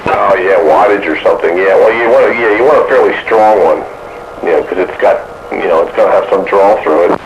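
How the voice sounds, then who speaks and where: A man's voice: deep voice, a little fast. A man chatting casually about technical matters as a radio operator, rambling along in a folksy way over a two-way radio link. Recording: dull noisy radio transmission, boxy echo.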